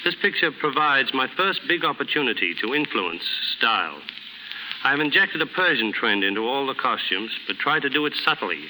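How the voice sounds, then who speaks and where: A man's voice: medium pitch, big pitch swings, normal speed. A man giving a formal, scripted guest talk on 1930s radio. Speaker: guest stylist. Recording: noisy 1930s radio recording.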